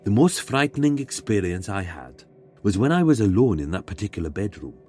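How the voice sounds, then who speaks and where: A man reading a scripted ghost story in a measured, dramatic way, pausing for suspense. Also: lowish voice, sing-song, a little mellow, slight background noise. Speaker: guest narrator reading a submitted ghost story.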